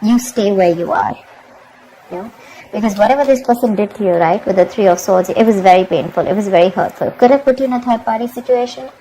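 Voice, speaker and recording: A woman's voice: mid-range voice, quick pace, warm tone, a little hiss or hum, poor audio. A woman giving a tarot reading to her viewers, emphatic and repetitive, often checking in with "okay?". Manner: emphatic, repeats herself, keeps asking "okay?". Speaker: tarot reader.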